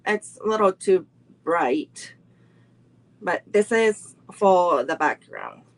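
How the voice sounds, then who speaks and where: A woman's voice: fairly low pitch, animated, takes it slowly, rough recording. A middle-aged woman teaching a live online card making class, walking through each step unhurriedly and greeting viewers between steps.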